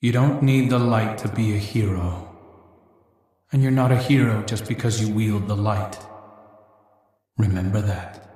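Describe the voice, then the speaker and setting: An actor playing a gentle, brooding, philosophical hero in a video game, speaking in a soft, measured, pensive way. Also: male voice, on the low side, fairly even intonation, close to an everyday voice. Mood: somber. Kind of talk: dramatic video game monologue. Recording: very echoey, reverberant sound.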